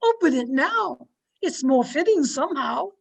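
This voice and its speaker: An elderly maidservant acted by a woman speaks in a high voice. She is solemn, devoted and a little mysterious, in the manner of an old radio play. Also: expressive, a little slow, gravelly, exaggerated voice. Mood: devoted, insistent. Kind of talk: old-time radio drama acting. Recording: home recording over video call.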